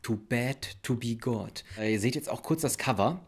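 A man's voice: medium pitch, normal speed, breathy edge. A man in his thirties presenting in a casual promotional chat. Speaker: presenter, writer and actor. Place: living room, indoors.

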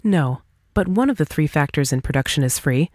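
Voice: medium pitch, expressive, fairly quick, a little mellow, dry recording, clean studio sound, lightly acted. A reader voicing a patient, confident individualist who argues in rhetorical questions, in a scripted audiobook dialogue. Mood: explaining, insistent.

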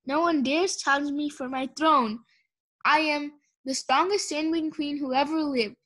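A woman's voice: high-pitched, steady tone, measured pace, fairly bright tone. A woman voicing a small young female dragon who is nervous and puts on a self-important queenly act in a storybook. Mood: grandly theatrical. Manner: hesitant, then theatrically self-important.

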